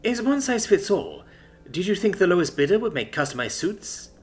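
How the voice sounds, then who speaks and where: A man's voice: high voice, sing-song, normal speed, voice pushed up. A man voicing a brusque, exasperated female military captain who talks in curt, sarcastic lines.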